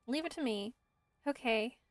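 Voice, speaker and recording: A woman voicing a calm young female traveler with low-key, playful replies in game dialogue. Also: unhurried, no echo, lightly acted. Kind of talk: video game dialogue voice-over. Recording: music behind the voice.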